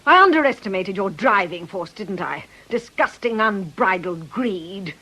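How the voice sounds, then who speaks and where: Husky, furious, betrayed woman. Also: mid-range voice, expressive, a little slow, pushed and bright, heavily acted, poor audio. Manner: biting, emphatic. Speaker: actor. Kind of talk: British radio drama acting.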